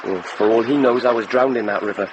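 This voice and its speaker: A man plays a young soldier in a period radio drama, frank and boyishly earnest. His voice sits at a middling pitch, with clear background noise around it. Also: fast talker, lightly acted. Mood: rueful. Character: earnest young Welsh soldier, a wounded prisoner.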